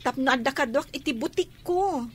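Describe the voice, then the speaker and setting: Radio drama: actress as assertive, caring businesswoman cousin, high-pitched, faint noise behind. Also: animated, normal speed. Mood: coaxing. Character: caring, assertive shop-owning cousin, adult woman. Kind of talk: Ilocano radio drama acting.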